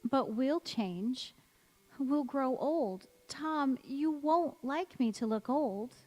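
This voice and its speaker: A woman's voice: sits high, slow, a little mellow, dull audio. A woman acting a sweet, sentimental young bride-to-be with earnest, theatrical delivery in an old-time radio drama. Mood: anxious, romantic. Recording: echoey church hall stage recording.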